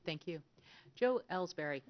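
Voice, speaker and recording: A woman moderating a public candidate forum, reading out rules and introducing candidates in a measured announcing way. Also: lowish voice, expressive. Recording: dull lo-fi broadcast audio.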